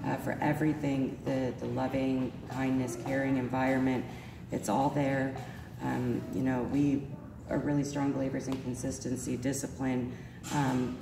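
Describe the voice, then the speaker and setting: A woman, stuffy from allergies and a little sore-voiced, giving an earnest parent testimonial with many ums. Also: sits low. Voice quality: slightly sore voice. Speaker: parent speaking at a school event. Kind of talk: personal testimonial speech. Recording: echoey hall, speaker far from mic, noisy.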